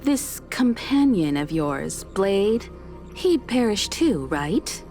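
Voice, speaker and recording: Slow, poised, commanding leader. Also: female voice, mid-range voice, very animated, darkish tone. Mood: cool, probing. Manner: direct, authoritative. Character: poised, firm, commanding female crew leader. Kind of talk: video game voice acting. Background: game music.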